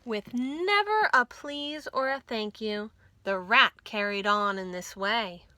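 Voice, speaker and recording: Female storytime reader, measured pace, bright and expressive, rhythmic children's verse. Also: on the high side. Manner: deliberate, rhythmic verse reading.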